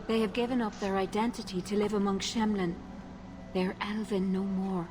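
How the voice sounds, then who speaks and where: An actress voices a proud female elf guard in a fantasy game. Her mid-pitched voice is cool and stern, with clear noise behind it. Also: little pitch movement, moderate pace, close to an everyday voice. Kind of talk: fantasy video game acting. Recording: noisy game capture.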